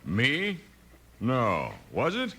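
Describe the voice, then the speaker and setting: A man's voice: mid-range voice, huge pitch swings, extremely slow, bright, forceful tone, slightly rough, heavily acted. A man playing a surly, shifty gambling boss in a 1950s radio western, curt and menacing. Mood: feigned surprise. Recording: noisy old 1950s radio recording.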